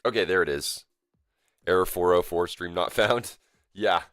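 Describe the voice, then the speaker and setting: A young man hosting a casual live game stream, sounding sick and coughy, with a voice deeper than usual and a laid-back, rambling way of talking. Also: measured pace, a bit bright, clear, resonant tone, no echo, clean studio sound. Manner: laid-back, rambling, lots of fillers and self-corrections. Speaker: live-stream gamer host. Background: faint game music.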